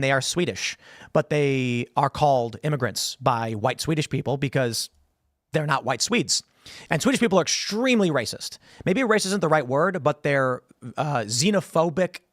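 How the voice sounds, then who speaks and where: A man in his thirties hosts a political talk show from a studio, speaking into a boom-arm mic. He tells stories animatedly, restarting phrases often. The sound is dry and close, with no room echo. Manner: animated storytelling with many restarts and gestures. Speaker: podcast host. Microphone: studio mic on boom arm, headphones.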